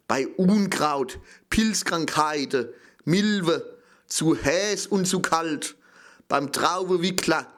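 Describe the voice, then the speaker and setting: A man with a Palatinate German accent reciting a dialect poem as a little grapevine, in deliberate sing-song short phrases. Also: fairly high pitch, fairly bright tone. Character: little grapevine, proud, affectionate, a bit fearful.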